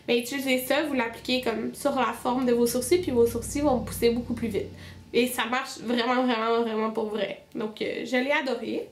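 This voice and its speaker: A woman's voice: high voice, reverberant. A young woman with a Québécois French accent, stuffed up with a cold, chatting casually about her favorite beauty products. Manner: chatty, many fillers and restarts. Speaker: beauty vlogger. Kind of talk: casual monthly favorites video.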